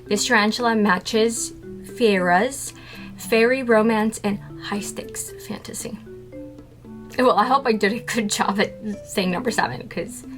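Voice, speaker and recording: A woman vlogs in a casual, playful way, asking herself questions and then answering them with a grin in her voice. Her pitch sits in a middle range. Clear music plays under her the whole time. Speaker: tarantula keeper vlogger. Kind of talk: casual scripted talk to camera.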